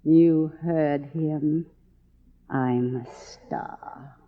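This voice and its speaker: An actress playing a deluded, imperious faded movie star of fifty, declaiming grandly and huskily in 1950s radio melodrama style. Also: female voice, low-pitched, fairly even intonation. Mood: unhinged, grand. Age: 50s. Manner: grandiose, slow theatrical declaiming. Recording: tinny vintage radio drama recording.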